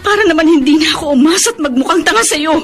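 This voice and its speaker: A woman's voice: high-pitched, animated, soft background music. A woman with a Filipino accent playing a weary, long-suffering middle-aged wife in a radio drama, bitter and emotional, drawing out her words. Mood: wounded demanding. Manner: tearful, drawn-out emotional delivery. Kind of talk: Tagalog radio drama acting with English mixed in.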